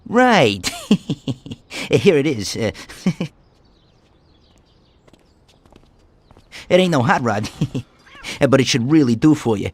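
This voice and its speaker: An actor playing a stammering, good-natured, simple mob mechanic with a halting, giggly manner in a video game. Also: male voice, medium pitch, very animated. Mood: eager, halting. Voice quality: heavy stutter.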